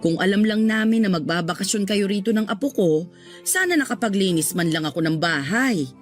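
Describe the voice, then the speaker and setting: An actress playing a strict, hot-tempered middle-aged mother in a radio drama, blunt, excitable and quick to scold. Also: mid-range voice, soft background music, natural voice. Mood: concerned.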